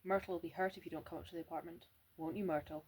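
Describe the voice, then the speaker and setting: A woman's voice: fairly low pitch, fairly even intonation, rapid-fire, a little mellow, breathy edge. A woman reading as a curt, domineering man. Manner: curt and decisive. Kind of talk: amateur read-aloud of a novel.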